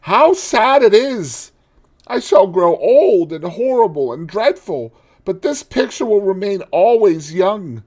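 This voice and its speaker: A man reading aloud and voicing an anguished, vain young man, impassioned and pleading. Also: lively intonation. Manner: impassioned, pleading, high and drawn-out.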